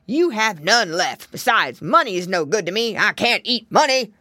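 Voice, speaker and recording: A woman's voice: mid-range voice, sing-song, moderate pace, sharp tone, no room sound, studio quality. A woman voicing a hungry, bad old male wolf in a children's storybook, growly and menacing. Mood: gruff scorn.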